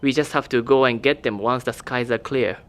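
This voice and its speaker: A man playing a cool, evasive male government official who speaks in a calm, formal, measured way, in an audio drama. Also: mid-range voice, natural voice.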